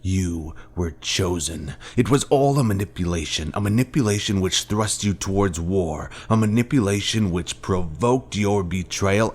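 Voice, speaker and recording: A man playing a grave, manipulative fallen Jedi master in a video game, speaking in a solemn, deliberate, grandiose way. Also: low voice, a little mellow, exaggerated voice. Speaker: game character voice actor. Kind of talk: dramatic scripted game dialogue.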